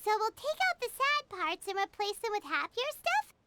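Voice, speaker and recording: A woman voicing a tiny, excitable childlike fairy companion in a video game, squeaky and exclamatory. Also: moderate pace, heavy background noise. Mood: cheerful, chatty. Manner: speaks of self in third person, exclamatory. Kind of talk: video game cutscene acting.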